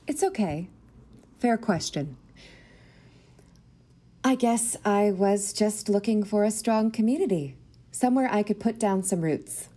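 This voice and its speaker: A nervous young schoolteacher in a naturalistic audio drama. She speaks in a medium-pitched voice, careful and hesitant, earnest and keen to please. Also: expressive, a little slow, long pauses, warm tone. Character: nervous, eager-to-please young single woman, new teacher.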